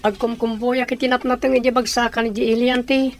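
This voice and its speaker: A woman's voice: on the high side, little pitch movement, quick pace, soft background music, lightly acted. A woman playing a young daughter confiding family troubles in a radio drama. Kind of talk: radio comedy drama acting.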